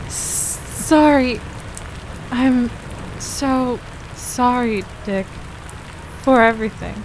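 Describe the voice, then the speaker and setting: A woman playing a weak, frightened young captive in a radio drama, faint and halting. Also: fairly high pitch, a little hiss or hum, poor audio. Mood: remorseful.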